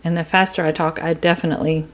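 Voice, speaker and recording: A middle-aged woman from East Texas with an East Texas accent, hosting a solo podcast from her quiet home, talking in an earnest, chatty way. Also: sits low, level tone, quick pace. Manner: chatty and earnest, warm storytelling with small laughs. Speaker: podcast host.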